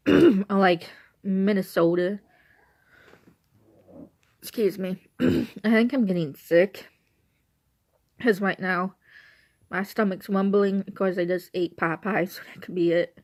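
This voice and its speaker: A young woman from Illinois with an Illinois accent, her voice hoarse and her nose stuffy. She talks casually to a handheld camera from her couch at home, rambling and circling back over her own sentences. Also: medium pitch, darkish tone. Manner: rambling, repeats and restarts herself. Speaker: vlogger.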